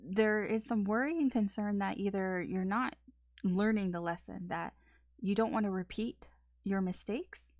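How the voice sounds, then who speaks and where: A woman's voice: mid-range voice, measured pace, darkish tone, no room sound. A woman giving a casual weekend tarot reading, rambling along with lots of fillers. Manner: rambling, filler-heavy talk. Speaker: tarot reader.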